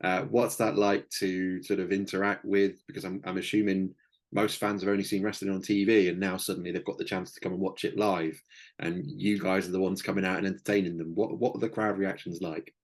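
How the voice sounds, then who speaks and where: A man's voice: deep voice, level tone, a little fast. A man hosting an interview, asking long, hesitant questions full of fillers. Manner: hesitant, many fillers and restarts. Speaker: interview host.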